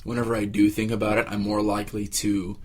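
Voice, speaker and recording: A man giving casual, reflective interview answers, haltingly searching for his words. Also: on the low side, completely flat delivery. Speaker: interviewee, exercise participant.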